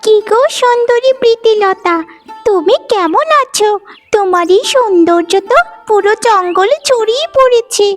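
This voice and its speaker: Tiny, very high-pitched cartoon fawn. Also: female voice, moderate pace, faint music behind. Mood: admiring, affectionate. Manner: gushing, affectionate. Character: sweet flattering talking fawn, young forest friend. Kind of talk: cartoon voice acting.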